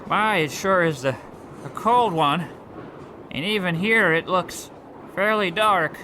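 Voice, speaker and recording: A man's voice: high voice, slow pace. A man playing a meek, naive old government clerk who speaks hesitantly and wordily in an old-time radio comedy. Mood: timid, hopeful. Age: older. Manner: hesitant, stammering, wordy and earnest. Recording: noisy outdoor street ambience with traffic.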